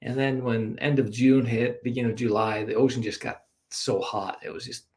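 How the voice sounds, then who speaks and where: A man from Iceland whose first language is Icelandic chats as a guest on a show. His voice sits at an average male pitch, and his style is informal and conversational. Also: level tone, normal speed, darkish tone. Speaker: interview guest. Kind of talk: casual chat.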